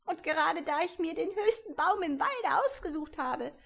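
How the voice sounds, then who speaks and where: Woman doing a storybook mother pigeon, shrill, suspicious, scornful, swooping pitch. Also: animated, normal speed, gravelly, a bit bright, exaggerated voice. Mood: frantic. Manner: shrieking and scornful.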